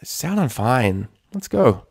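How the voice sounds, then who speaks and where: A man voicing a brash young female cartoon pegasus pony, gruff, groggy and irritable. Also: medium pitch, sing-song, takes it slowly, darkish tone, no room sound, clean studio sound, voice pushed up, full character voice. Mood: irked, weary. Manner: groggy, mumbled, laid-back.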